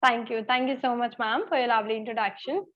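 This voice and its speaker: A woman's voice: a little high, quick pace, highs cut off. A woman moderating an online conference, polite and formal, greeting guests and calling them ma'am. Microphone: video call.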